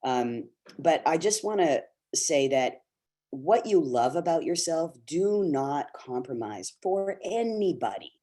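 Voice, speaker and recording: Mellow-voiced middle-aged man, earnest and encouraging. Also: a little high, big pitch swings, slow pace. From Canada. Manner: earnest, encouraging, many fillers and restarts. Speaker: actor speaking on a casting webinar. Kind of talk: candid talk to young actors. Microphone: video call.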